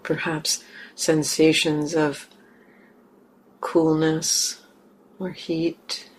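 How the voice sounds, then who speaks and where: A woman meditation teacher leading a guided meditation gently and haltingly, in short phrases with long pauses. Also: sits low, completely flat delivery, low-quality recording. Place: meditation center hall. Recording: clear background noise, room ambience.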